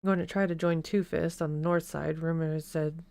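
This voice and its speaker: A woman reading the part of an anxious young male street orphan, earnest and pleading, a little louder than her narration. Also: low-pitched, fairly even intonation, fairly quick, gravelly, no room sound, clean studio sound.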